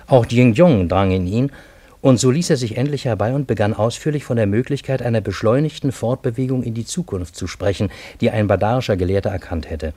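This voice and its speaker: A man plays a genial Parisian storyteller in a radio drama. His voice is mellow and slightly husky, recorded very dry. He sounds urbane, wry and a touch bewildered by it all. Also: medium pitch, fairly quick, no room sound, close to an everyday voice. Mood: wry, narrating. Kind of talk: German radio play narration and dialogue.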